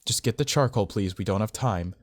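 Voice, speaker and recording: A man's voice: on the low side, a little fast, close to an everyday voice. Man playing a brusque, impatient doctor. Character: brusque, impatient, callous male emergency doctor.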